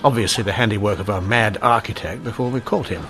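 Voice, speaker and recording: An actor playing a shrewd, dryly witty police inspector in a radio mystery drama, speaking in a measured, deliberate way. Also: male voice, on the low side, very noisy, close to an everyday voice. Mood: intrigued, cautious. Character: shrewd, dryly wry male police inspector in 1890s Canada. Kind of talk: old-time radio mystery drama acting.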